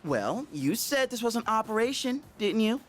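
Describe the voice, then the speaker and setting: An actor voicing an eager, cheeky teenage schoolboy in a video game, excitable and glib. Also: male voice, sits high, moderate pace, faint music behind. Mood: goading, excitable. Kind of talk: English video game voice acting.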